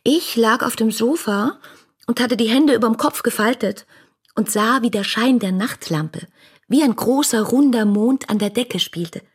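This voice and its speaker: Casting: lovestruck young woman for radio play, breathy husky voice, rapturous letter-reading that moves between hushed intimacy and exclamation. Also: a little high, unhurried. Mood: excited, confiding. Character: ardent young woman writing adoring love letters.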